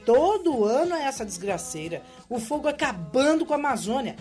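A woman's voice: sits high, very animated, brisk, darkish tone, faint music behind. An actress playing an outspoken young Brazilian village woman in a radio soap, chatty and emphatic. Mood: indignant, emphatic. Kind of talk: radio soap opera acting.

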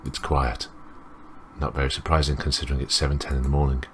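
An extremely deep male voice narrates a visual novel for a let's play. He rambles and breaks off to comment on what he reads, over faint noise of clicks and typing. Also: flat delivery, quick pace. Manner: rambling, self-interrupting. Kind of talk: reading a visual novel aloud with off-the-cuff commentary.

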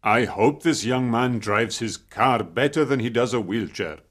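A man playing a tender, wry middle-aged father in an audiobook, speaking sincerely and haltingly. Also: mid-range voice, a bit bright. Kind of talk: dramatised audiobook dialogue.

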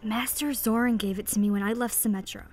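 A woman's voice: a little high, steady tone, fairly quick, a little mellow, soft background music, close to an everyday voice. A woman playing a defiant, guarded teenage girl archer trainee in an audio drama.

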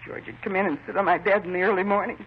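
A woman's voice: medium pitch, fairly even intonation, very fast, close to an everyday voice. Actress doing fragile fixated housewife losing her mind, 50s radio play, dull recording w some noise. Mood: agitated, bitter. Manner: fretful, fixated, repeats herself. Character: distraught, mentally unstable housewife confessing to killing her husband. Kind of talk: 1950s radio drama acting. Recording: muffled, noisy 1950s radio recording.

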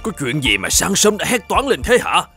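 A man voicing a stern, hot-tempered father in an animated folktale. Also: high-pitched, normal speed, exaggerated voice. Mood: startled, brusque. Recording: music bed under the voice.

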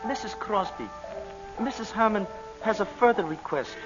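A man's voice: high-pitched, lively intonation. An actor playing a polite, deferential male servant who guides visitors, in 1940s radio acting style. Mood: formal. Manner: formal, courteous, halting phrasing. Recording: dull old radio broadcast with music.

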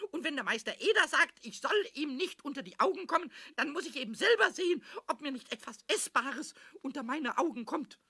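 Squeaky, scratchy little kobold. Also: male voice, sharp tone, no echo, clean studio sound, full character voice. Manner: sing-song rhyming, chattering to himself, repeating words. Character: small mischievous male kobold, impish, excitable, childlike. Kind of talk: children's radio play acting.

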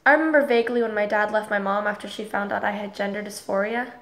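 A woman's voice: mid-range voice, steady tone, fast talker, fairly bright tone, lightly acted. A woman playing a caring, curious teenage girl in an audio drama.

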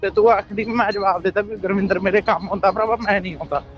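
A man's voice: high-pitched, steady tone, quick pace. A man with a Malwai Punjabi accent talking casually on a recorded phone call, giving insistent, repeated instructions. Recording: dull lo-fi recorded phone call, faint music.

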